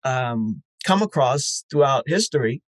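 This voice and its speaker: A man's voice: medium pitch, expressive, a little slow, dry recording. A man, founder of a podcast festival, answering interview questions in a reflective way with many fillers and restarts.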